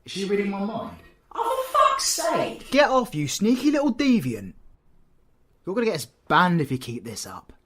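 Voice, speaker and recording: A British man playing a cocky, menacing, flirtatious supervillain in an audio roleplay, husky and sardonic, often dropping to breathy whispers. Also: high voice, wildly swooping pitch, moderate pace, fairly bright tone, exaggerated voice. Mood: exasperated. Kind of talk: scripted audio roleplay, ASMR drama.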